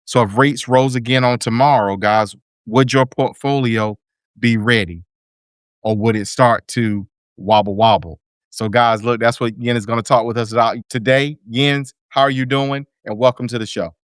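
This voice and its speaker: A man hosting a radio talk show, energetic and folksy, full of shout-outs and hype. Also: mid-range voice, normal speed, smooth, no echo, studio quality.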